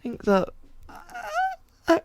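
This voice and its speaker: A woman's voice: takes it slowly, darkish tone, close to an everyday voice. A woman voicing a frightened, vulnerable young boy in an amateur comic dub, in an anxious, plaintive way.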